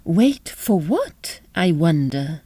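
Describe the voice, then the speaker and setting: A woman's voice: lowish voice, sing-song, slow, heavy background noise. A woman playing a poised, sensible opera singer who also narrates a period comedy audio drama. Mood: poised, puzzled.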